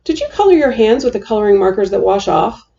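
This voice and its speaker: A woman voicing an excitable, easily alarmed mother in a children's storybook, exclaiming and questioning. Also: mid-range voice, quick pace, vocal fry, highs cut off. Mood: alarmed scolding. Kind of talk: children's storybook read-aloud.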